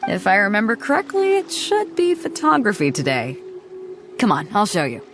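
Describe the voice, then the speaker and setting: A woman's voice: medium pitch, huge pitch swings, moderate pace, music in the background. An actress voicing a bold, teasing, confident female ship captain in a game cutscene. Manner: teasing, self-assured, laughs easily.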